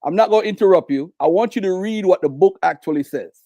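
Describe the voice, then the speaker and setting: A man's voice: high-pitched, fast, creaky, dark tone, no room sound. A man with a Jamaican accent, debating a host live, insistent and repeating his requests. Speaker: debate guest.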